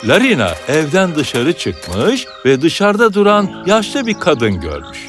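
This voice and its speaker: A man's voice: fairly high pitch, wildly swooping pitch, slow. A man narrating a children's fairy tale as a scripted storyteller. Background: background music.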